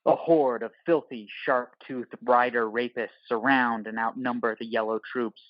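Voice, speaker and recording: A man's voice: mid-range voice, steady tone, normal speed, no echo, muffled recording. Male audio drama narrator, dry sound. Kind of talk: scripted audio drama narration, present tense.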